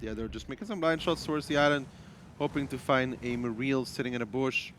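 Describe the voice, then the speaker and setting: A man calling a live esports match, with quick teasing banter and brisk play calls. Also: mid-range voice, a bit bright, a little hiss or hum.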